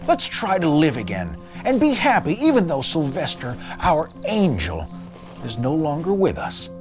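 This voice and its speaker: A man's voice: on the high side, huge pitch swings, moderate pace, over music, pitched above the performer's own voice. A man voicing a loving, sad donkey father in a storybook, earnest and tender as he tries to be cheerful. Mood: sad, coaxing. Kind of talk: storybook character voice.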